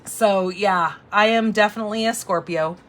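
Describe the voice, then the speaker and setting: A woman in her forties hosting a casual live craft demo, chatty and thinking out loud as she works. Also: medium pitch, normal speed. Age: 40s. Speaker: crafting host and instructor.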